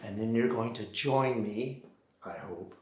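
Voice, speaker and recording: An American man performing a theatrical solo monologue, deliberately acting out one side of a phone call on a theater stage. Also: fairly low pitch, a little mellow. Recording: roomy, echoing live theater sound.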